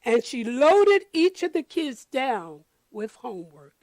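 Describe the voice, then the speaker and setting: Slow, high-voiced woman storyteller for children. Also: no echo, low-quality recording. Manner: deliberate, expressive, addressing children directly. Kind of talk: children's picture book read-aloud with commentary.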